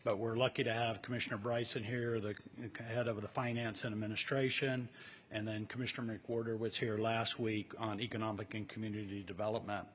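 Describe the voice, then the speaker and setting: A man with a fairly low voice introduces guests at a live press event. His delivery is informal and halting, with faint ambient noise behind him. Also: flat delivery. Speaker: official hosting a press event. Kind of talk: podium introduction speech.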